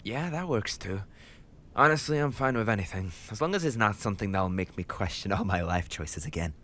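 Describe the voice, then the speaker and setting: Man acting a low-voiced, teasing gang leader boyfriend. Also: expressive, a little fast, bright, forceful tone. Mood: casual, amused. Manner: affectionate teasing, mock complaining, casual swearing. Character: tough gang leader boyfriend, playful, soft with his sweetheart. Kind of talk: intimate romantic audio roleplay.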